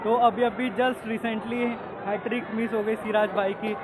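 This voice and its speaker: A young man vlogging in excited casual from the stands of a cricket stadium, mixing in English phrases, with his phone held at arm's length. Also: high voice, unhurried. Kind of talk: casual vlog commentary. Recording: phone audio with loud crowd noise. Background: cheering crowd and music.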